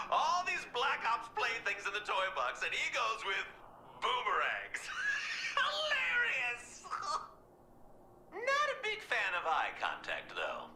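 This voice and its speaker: Wildly theatrical clown villain, swooping pitch. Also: male voice, sharp tone, roomy sound, slight background noise, full character voice. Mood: manic glee. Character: theatrical, manic young psychopathic clown villain. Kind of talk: video game villain acting.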